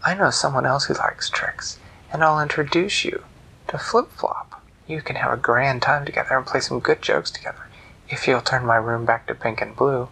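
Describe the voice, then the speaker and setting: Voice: mid-range voice, normal speed, creaky, sharp tone, husky, heavily acted, low-quality recording. A storyteller voicing an earnest, polite young girl who pleads to get her way. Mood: pleading.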